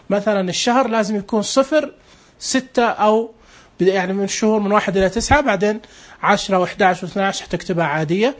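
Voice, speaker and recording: A man casually walking a listener through an app, step by step, in a plain instructive way. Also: sits high. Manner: plain, instructive, explains patiently with small restarts. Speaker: app demonstrator.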